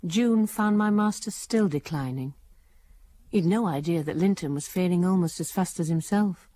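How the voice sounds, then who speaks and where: An actress playing a grave housekeeper narrating past events in a drama. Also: female voice, on the low side, moderate pace, mellow, dry recording, close to an everyday voice. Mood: sombre.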